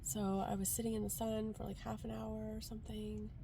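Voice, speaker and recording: A woman's voice: mid-range voice, level tone, fairly quick, vocal fry, noisy background. A woman from Canada with a congested, stuffed-up voice, talking in a casual, rambling car vlog style full of "like". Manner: informal, rambling, frequent "like". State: congested from a cold. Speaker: vlogger. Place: sitting inside a car.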